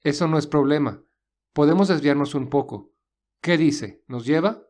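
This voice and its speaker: A man's voice: a little high, normal speed, darkish tone, natural voice. A man playing a courteous, resourceful young man in an audio story, speaking earnestly and reassuringly. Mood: courteous, persuasive. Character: polite, resourceful young man stranded with his companion. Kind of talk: audio story character dialogue.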